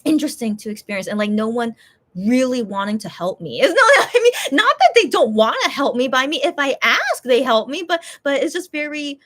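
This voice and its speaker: A woman's voice: high voice, very animated, fairly quick, fairly bright tone. Chatty woman vlogging. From USA. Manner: chatty, rambling, many restarts and fillers. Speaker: YouTuber, channel host. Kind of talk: casual chat with viewers.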